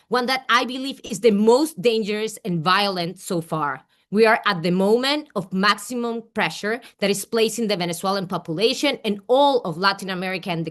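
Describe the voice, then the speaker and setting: A woman from Peru giving an earnest, deliberate political speech at a rally over a remote call, with small restarts. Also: medium pitch, fairly bright tone. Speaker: rally speaker. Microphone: remote video call.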